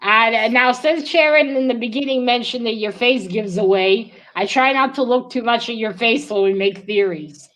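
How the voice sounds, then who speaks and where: A woman with a fairly high voice hosts a casual book discussion. She speaks in a halting way, doubling back on words as she chats. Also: a bit bright, rough recording. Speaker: host of a book read-along show. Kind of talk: casual chat.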